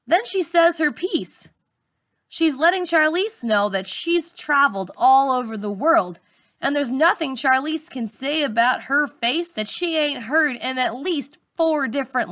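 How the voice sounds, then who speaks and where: A woman narrates a young people's audiobook as a teacher would read to a class. Her voice is bright and a little high, with slow, expressive, colloquial storytelling. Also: dry recording, clean studio sound. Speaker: teacher reading aloud. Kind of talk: expressive first-person audiobook narration for schoolchildren.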